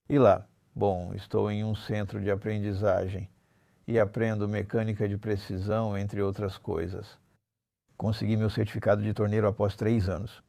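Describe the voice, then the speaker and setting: Man, 70s, interview guest recounting his past. Low-pitched, mellow, colloquial and blunt. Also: gravelly, no room sound. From Normandy, France. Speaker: interview guest, former armed robber. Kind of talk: conversational life-story recounting.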